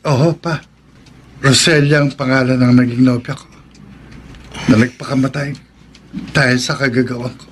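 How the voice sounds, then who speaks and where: A man's voice: medium pitch, steady tone, moderate pace, pauses often, fairly bright tone, slight background noise, close to an everyday voice. An actor playing a guilty, nervous young man in a horror radio drama, remorseful and stammering.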